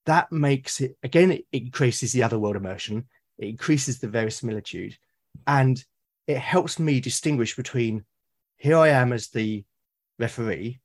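Man from Britain, podcast guest, husky, mid-pitched, enthusiastic, rambling. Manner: enthusiastic, rambling with many restarts. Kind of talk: casual podcast conversation.

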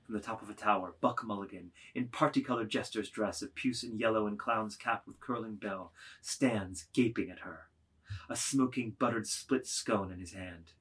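A man's voice: on the low side, moderate pace, a bit bright, breathy edge. A man reading scripted literary narration expressively, with rhythmic, emphatic delivery of lists of words.

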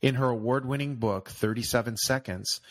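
A man's voice: medium pitch, moderate pace. A man hosting a podcast, enthusiastically introducing and questioning his guest. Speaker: podcast host. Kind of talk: podcast interview.

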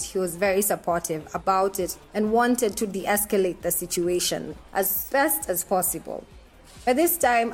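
A woman reads a first-person essay aloud in a measured, indignant manner. Her voice sits at an ordinary middle pitch. Faint music plays softly beneath her reading.

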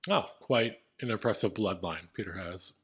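A man with a deep voice co-hosts a casual comedy podcast. He talks in a laid-back way and reads listener reviews aloud. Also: moderate pace, fairly bright tone, slightly rough. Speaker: podcast co-host.

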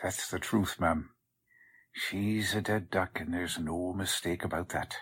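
A man playing a blunt, skeptical police sergeant with folksy slangy speech and a husky voice, in 1940s radio drama style. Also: low voice, fairly even intonation, measured pace, fairly bright tone, full character voice. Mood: matter-of-fact. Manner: blunt, folksy working-class cop talk.

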